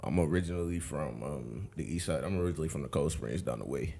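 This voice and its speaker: A man's voice: very deep voice, quick pace, gravelly, warm tone. A man, chatting casually as a podcast guest in a laid-back, rambling way. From Buffalo, New York, USA. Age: 20s. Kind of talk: casual chat.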